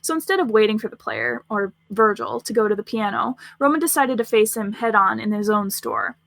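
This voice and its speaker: A woman's voice: on the high side, fairly quick, creaky, a bit bright, no echo. A woman reading scripted fiction aloud as the narrator of a story podcast.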